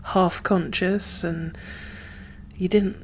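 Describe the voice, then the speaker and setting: A woman speaking softly and often whispering in an ASMR roleplay, gentle and halting, as a guarded but caring apocalypse survivor. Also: on the low side, fairly even intonation, a little hiss or hum. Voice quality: soft, breathy, often whispered. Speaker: ASMR performer playing a role. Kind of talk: soft-spoken ASMR roleplay.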